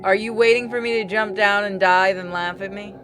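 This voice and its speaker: A woman's voice: medium pitch, steady tone, brisk, fairly bright tone, faint music behind. A woman giving a dramatic reading of visual novel game dialogue.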